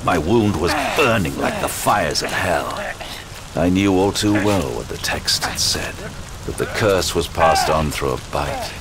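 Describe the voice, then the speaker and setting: Low-voiced, haunted detective narrator. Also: male voice, animated, unhurried, over loud music. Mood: grave, haunted. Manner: grave, dramatic. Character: haunted, battle-hardened detective recounting horrors. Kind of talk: first-person horror drama narration.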